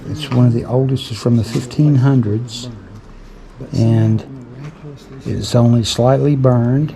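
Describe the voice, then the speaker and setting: A man slowly reads a sign aloud outdoors, with careful pauses. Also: medium pitch, fairly even intonation, vocal fry, a crowd in the background, rough recording. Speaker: travel vlogger.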